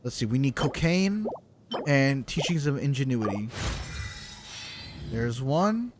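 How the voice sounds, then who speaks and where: A man's voice: mid-range voice, very animated, takes it slowly, background noise. A man doing casual live gameplay commentary as a streamer, thinking aloud and talking to himself. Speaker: game streamer.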